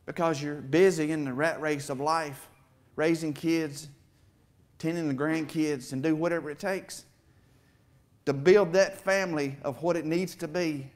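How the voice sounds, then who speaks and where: A man's voice: on the high side, steady tone, unhurried. A middle-aged man preaching a heartfelt testimony, with emphatic swells and an emotional edge. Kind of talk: sermon testimony. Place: church sanctuary with stage. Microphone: far camera.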